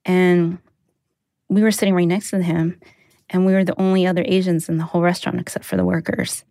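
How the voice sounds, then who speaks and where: A young adult woman, raised speaking Mandarin first, telling a personal story in a candid, reflective way with soft laughs. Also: on the low side, flat delivery, brisk, no echo, clean studio sound. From United States. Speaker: interviewee, newsletter writer.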